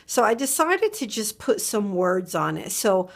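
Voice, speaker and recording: Slow, chatty woman with a slight cold. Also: medium pitch, very animated, vocal fry. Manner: chatty, musing aloud, repeats words to herself. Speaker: craft video host. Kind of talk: relaxed crafting tutorial narration. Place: indoor craft desk. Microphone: overhead camera above a desk, hands only.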